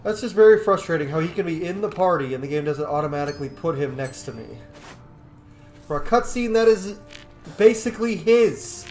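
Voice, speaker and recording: A man with a slightly high voice talks casually through a game while under the weather. He mutters and exclaims informally in a roomy space, with faint music behind him. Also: lively intonation, brisk, darkish tone. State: sick. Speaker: gaming streamer. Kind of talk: casual let's-play commentary.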